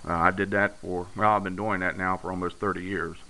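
A middle-aged man casually demonstrating his hobby in a plain, folksy, halting way while he works, recorded on a handheld camera indoors. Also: low-pitched, little pitch movement. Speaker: amateur radio hobbyist. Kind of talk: casual hands-on demonstration talk. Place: indoors at a radio bench. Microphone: handheld camera's built-in mic. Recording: noisy camera audio with radio static. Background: radio receiver noise and static.

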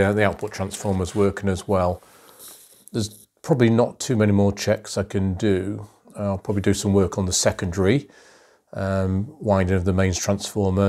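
Casting note: middle-aged man, lowish voice, unhurried workshop commentary, fillers, bench camera mic. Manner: calm, methodical, many ums and uhs. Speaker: electronics restorer. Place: cluttered home workshop.

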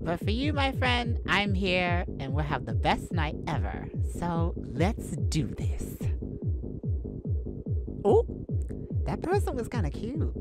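A woman playing an outgoing, playful, sassy young best friend in an audio roleplay, theatrical and teasing, with drawn-out words. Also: fairly low pitch, huge pitch swings, over loud music, full character voice. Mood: confiding, sassy. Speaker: ASMR roleplay voice actor. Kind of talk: ASMR audio roleplay, addressed to a listener.

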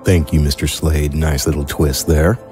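Male podcast host, low voice, unhurried, announcements, faint music behind. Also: level tone, darkish tone. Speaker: host and producer.

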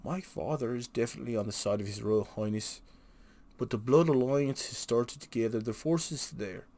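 A man's voice: mid-range voice, normal speed, warm tone, close to an everyday voice. Man acting an earnest, declaiming fantasy soldier. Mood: proud. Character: earnest loyal male soldier of a fantasy kingdom. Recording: noisy home recording.

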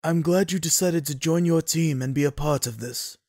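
A man's voice: on the high side, moderate pace, darkish tone, no echo, studio quality, natural voice. A man voicing a kindly, earnest noble uncle in an anime audio drama. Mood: earnest, fatherly.

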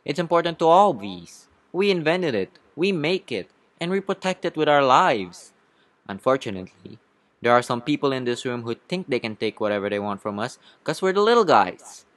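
An earnest young bee acted out by a man pleads his case in a cartoon courtroom. He is indignant and speechifying, with pointed questions and a pitch that often lifts. The sound is dry. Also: big pitch swings, moderate pace, no echo, close to an everyday voice. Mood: earnest, pleading.

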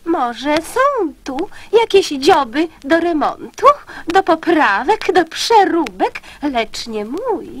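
High, dainty, vain little bird. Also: female voice, sing-song, slow pace, exaggerated voice. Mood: haughty. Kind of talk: radio verse drama.

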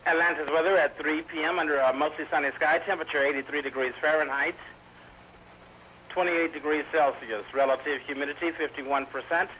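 A man's voice: on the high side, fairly even intonation, a little fast, bright, forceful tone. Man reading weather bulletins over faint noise. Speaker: weather radio announcer. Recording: faintly noisy 1990s weather radio on VHS. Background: faint radio noise.

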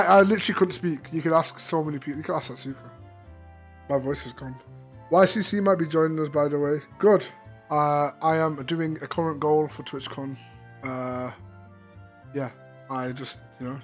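Hoarse man in crude, teasing banter. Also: fairly high pitch, steady tone, moderate pace, fairly bright tone, faint music behind. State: strained tired voice after illness. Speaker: VRChat streamer. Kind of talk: casual chat. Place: online virtual-reality social game.

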